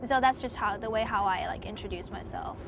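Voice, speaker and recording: A woman's voice: mid-range voice, brisk, noisy background. Young woman, interviewee, chatty, hedging, from Taiwan. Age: young adult. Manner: chatty, many "like" fillers, hedging. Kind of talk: casual conversational interview.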